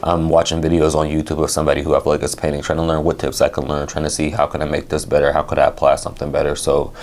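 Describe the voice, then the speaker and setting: A young man with a very deep voice, talking casually and quickly as an interview guest, with lots of "like" in his speech. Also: a little hiss or hum. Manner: fast, relaxed, says "like" often. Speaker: guest singer and painter.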